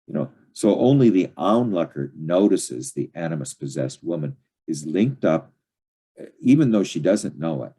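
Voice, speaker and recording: An older man giving an informal study-group lecture, reading and commenting in a halting, digressive way with unfinished sentences. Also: deep voice, mellow, husky.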